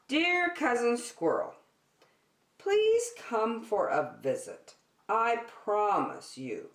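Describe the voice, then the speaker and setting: A woman reading a picture book aloud to young children as a circle time teacher, expressive and speaking directly to her listeners. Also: lowish voice, echoey room. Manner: expressive, addresses young listeners with questions and prompts.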